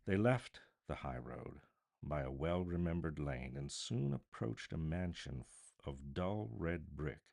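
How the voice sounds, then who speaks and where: A man narrating a classic story as a dramatic live reading, with theatrical, deliberate phrasing. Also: very deep voice, gravelly, a little mellow.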